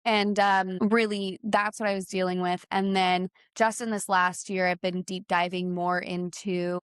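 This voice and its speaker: A woman's voice: on the low side, measured pace, smooth, no room sound, clean studio sound. A woman in her thirties telling stories in a rambling, casual chat, often restarting her sentences. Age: 30s. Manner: rambling, restarts often, animated when quoting others. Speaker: podcast co-host.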